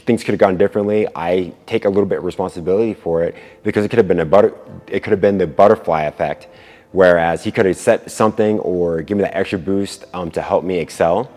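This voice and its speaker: A man's voice: low voice, a little mellow. A man telling a personal story in a casual, earnest, conversational way, as a video creator would. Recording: long hall reverb, music behind. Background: music.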